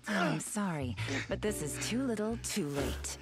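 An actress playing a cool, teasing, dangerous young woman agent in an animated game trailer. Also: mid-range voice, natural voice. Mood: cool, mocking. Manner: unhurried, sly, mocking. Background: loud music.

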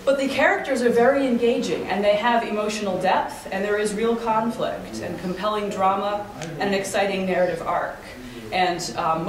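Echoey low-voiced woman giving a talk. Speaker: conference speaker. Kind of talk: prepared talk to an audience. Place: hotel conference room, indoors. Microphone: camera across the room. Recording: echoey meeting room, distant mic, audience noise.

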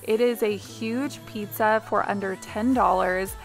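A woman's voice: mid-range voice, fairly even intonation, a little slow, faint music behind. A woman in her thirties, a mother and home vlogger, chatting through a cleaning video voice-over in a confiding, casual way with little ums.

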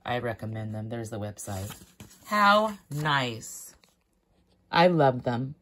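A woman's voice: extremely low pitch, big pitch swings, slow, a bit bright. A middle-aged woman vlogger chatting casually and enthusiastically as she unboxes parcels, often exclaiming. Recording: clear background noise, crinkling packaging. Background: crinkling paper and packaging, clicks.

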